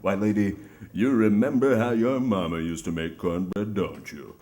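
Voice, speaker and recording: A man announcing in a folksy, theatrical old-time variety show style, pitching sponsor products like a salesman. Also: normal speed, a little mellow.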